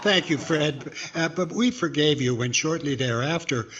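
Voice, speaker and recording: A man's voice: a little high, normal speed, fairly bright tone, lo-fi sound, a little hiss or hum, lightly acted. A man playing a polite, hesitant ventriloquist straight man in 1940s radio comedy style. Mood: polite, modest.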